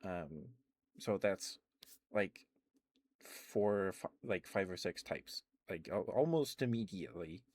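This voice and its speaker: A man with a deep voice chats casually in the manner of an ASMR streamer. He rambles haltingly, often stammering and restarting his phrases. The sound is close on the microphone and very dry, with hardly any room to it. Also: animated, darkish tone, no room sound. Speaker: livestream host.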